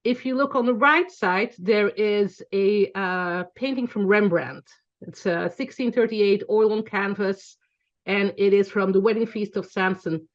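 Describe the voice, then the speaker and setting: A woman's voice: mid-range voice, measured pace, lo-fi sound. Chatty Belgian woman teaching online. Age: 40s. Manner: chatty, frequent ums, self-correcting. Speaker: class teacher. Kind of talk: online class presentation. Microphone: video call.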